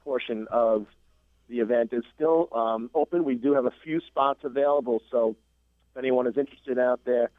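A man's voice: mid-range voice, no room sound. A man calling into a radio show as an event organizer, speaking earnestly with many fillers. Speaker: call-in guest, event organizer. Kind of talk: casual radio chat by phone. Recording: dry tinny phone line.

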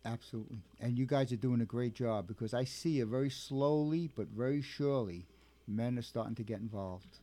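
A man's voice: mid-range voice, brisk, dark tone. A man with a Brooklyn accent co-hosting a radio talk show, enthusiastic and affirming. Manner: enthusiastic, affirming, laughs often. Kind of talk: casual radio chat.

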